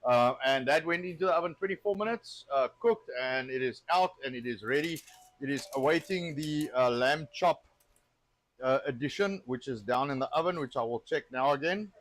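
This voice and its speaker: A man's voice: medium pitch, animated, normal speed. A South African man hosting a cooking stream, chatting casually and joking with filler words while he cooks. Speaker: cooking show host.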